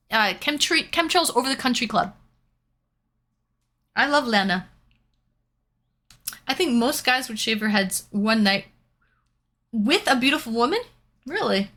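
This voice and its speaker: A woman's voice: a little high, sharp tone. A young woman from Canada chatting casually with viewers while painting and reading out their comments. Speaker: streamer.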